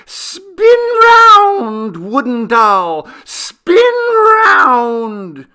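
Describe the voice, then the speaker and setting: A man's voice: extremely high pitch, wildly swooping pitch, drawn-out words, sharp tone, clean tone, voice pushed up, exaggerated voice. A man voicing an intense, fragile young romantic man in a story, emotional and declamatory. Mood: shrieking madness.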